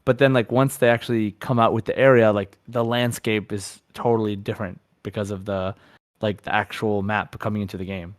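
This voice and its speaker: A man co-hosting a podcast, chatting casually about a video game in a halting, repetitive way as he searches for words. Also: lowish voice, steady tone, no room sound, studio quality. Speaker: podcast co-host.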